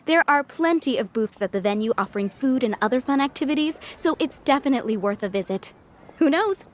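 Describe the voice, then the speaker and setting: A woman's voice: high-pitched, animated, brisk. A friendly townswoman. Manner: friendly, informative, light laugh. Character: friendly woman townsperson giving event news. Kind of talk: game dialogue.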